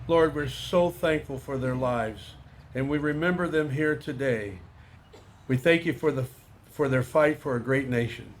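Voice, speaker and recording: A man's voice: mid-range voice, unhurried, slight background noise. An American man praying aloud at a ceremony in a solemn, reverent manner. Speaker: prayer leader. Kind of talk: public spoken prayer. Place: outdoor memorial ceremony.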